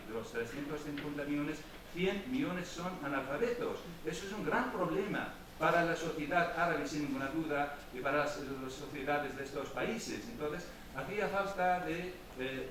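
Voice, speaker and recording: A man whose first language is Arabic, giving a university lecture with hesitant pauses and restarts. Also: fairly high pitch. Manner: hesitant, with many fillers and restarts. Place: lecture hall. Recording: echoey hall, mic far off, noisy.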